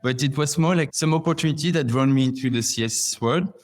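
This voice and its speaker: Mid-pitched man from Belgium, podcast guest. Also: brisk, darkish tone. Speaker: podcast guest, customer success manager. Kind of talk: conversational interview answers.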